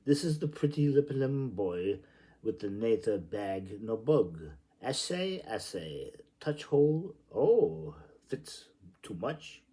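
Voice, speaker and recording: Male literary reader, slow-paced and theatrical, playful and exclamatory, with big swoops in pitch. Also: sing-song, dark tone. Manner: playful, exclamatory delivery with sudden outbursts. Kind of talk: theatrical literary reading aloud.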